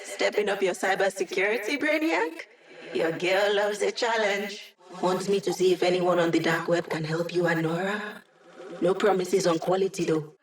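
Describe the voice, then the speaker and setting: A woman's voice: lowish voice, moderate pace, distant mic, lightly acted. An actress voicing a sassy, cocky young female hacker in a video game, with wry, teasing and sarcastic delivery. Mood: playful. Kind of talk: video game character voice lines.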